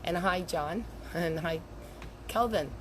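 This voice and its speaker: A woman in her fifties talks in a low, raspy voice, worn down because she is losing it. She rambles in a chatty way through a live video outdoors by a lake. Outdoor ambience and the hum of passing vehicles sit clearly behind her. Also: slow. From Canada. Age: 50s. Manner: chatty and rambling, laughs between points. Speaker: handwriting analyst. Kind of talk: casual live video chat.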